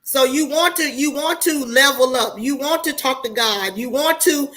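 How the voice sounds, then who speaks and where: High, bright-voiced American woman in her forties. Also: moderate pace. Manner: candid, colloquial, preachy, swears freely. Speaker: online show host talking to viewers. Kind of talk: casual livestream monologue.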